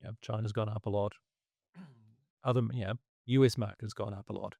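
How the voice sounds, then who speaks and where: A man, losing his voice, talking through markets in a casual, halting way and clearing his throat often. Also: fairly low pitch, steady tone, dark tone, no echo. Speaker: investment commentator.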